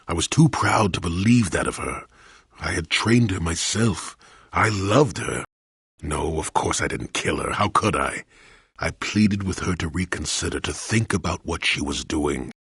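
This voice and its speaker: An actor playing a gruff, rueful old former Jedi in a video game, an elderly storyteller with a gravelly voice and a wry, rambling, dramatic way of talking. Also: male voice, low-pitched, lively intonation, unhurried, a bit bright, no echo, studio quality, exaggerated voice. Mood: regretful, sorrowful. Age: older. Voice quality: gravelly, husky. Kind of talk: scripted video game voice acting.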